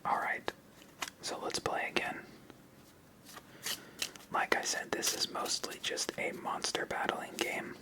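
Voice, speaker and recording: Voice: slow pace, long pauses, low-quality recording. Whispering ASMR game host. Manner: whispering throughout. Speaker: host of a tabletop card game channel. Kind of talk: whispered game play-through commentary.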